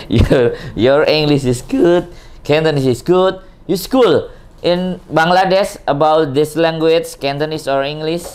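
Very low-voiced Indonesian woman interviewer. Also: a little slow, darkish tone. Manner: laughs often, repeats "wow" and "okay". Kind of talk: casual chat in simple English.

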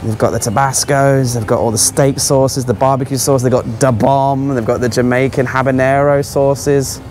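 A man's voice: medium pitch, steady tone, normal speed, darkish tone, slight background noise. A man giving casual, offhand, exclaiming commentary as a travel vlogger walking around a supermarket. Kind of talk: casual running vlog commentary. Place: large supermarket aisles.

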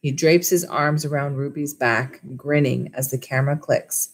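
Low-voiced woman reading a storybook. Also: fairly even intonation, normal speed, slight background noise. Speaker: school librarian reading aloud. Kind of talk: storybook read-aloud with asides to children. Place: small wood-panelled room at home. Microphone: webcam or laptop at desk distance.

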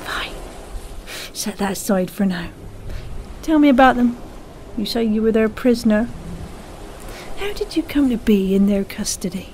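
A woman's voice: fairly high pitch, animated, unhurried, pauses often, darkish tone, slight background noise. A woman playing an imperious, impatient baroness of the sea in an audio drama, with clipped, demanding delivery. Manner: clipped, demanding, spitting words. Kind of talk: audio drama character acting.

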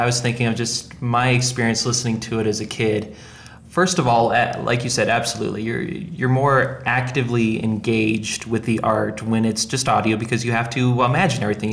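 A man hosts a casual podcast discussion in a mid-range voice. He thinks out loud, circling and rewording his points as he goes. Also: mid-range voice, slight background noise. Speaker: podcast host.

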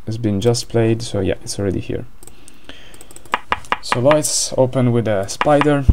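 A man's voice: mid-range voice, unhurried, vocal fry, very noisy. A man with an Italian accent commentating a board game live, hesitant, with many fillers and restarts.

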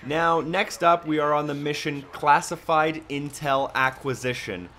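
A man's voice: fairly high pitch, unhurried, bright, forceful tone, slight background noise. A man giving a casual, step-by-step walkthrough commentary as a video game guide narrator. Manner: instructional, step-by-step. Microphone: voice-over on a microphone.

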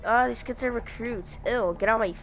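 A woman's voice: on the high side, a little fast. A young woman chatting casually and teasing a friend while playing a game, laughing often. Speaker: gamer streaming with a friend. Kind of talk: casual gameplay chat.

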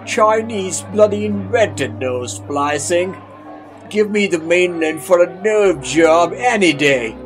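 A man voicing a drunken male bar patron with an Australian accent, bellowing high and slow. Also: lively intonation, soft background music.

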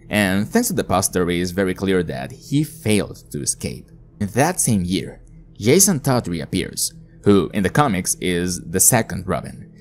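A man's voice: low-pitched, a little mellow. A man reading scripted narration, recapping and explaining a story as a video narrator.

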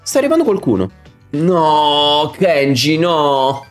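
A man's voice: on the high side, sing-song, slow pace. A man in his thirties chatting and commenting on a live game stream, now and then slurring his words. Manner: sometimes slurred articulation. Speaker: streamer reading game dialogue aloud. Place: indoor room, streaming setup. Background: game music.

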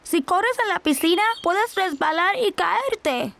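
High-pitched, earnest little cartoon boy. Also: expressive, slow pace, gravelly, background noise, full character voice. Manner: childlike, earnest. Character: cheerful, eager four-year-old boy. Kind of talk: animated children's cartoon dub.

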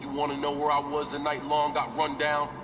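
A man's voice: fairly high pitch, monotone, fairly quick, natural voice. An actor playing a brash young male wrestler who talks street slang in a video game cutscene. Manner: trash-talking street slang. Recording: distant, roomy, noisy game audio.

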